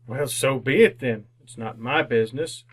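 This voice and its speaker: A man's voice: mid-range voice, moderate pace, natural voice. An actor playing a miserly, cold-hearted, crotchety older businessman in old-time radio drama style, curt and scornful. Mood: cold, sardonic.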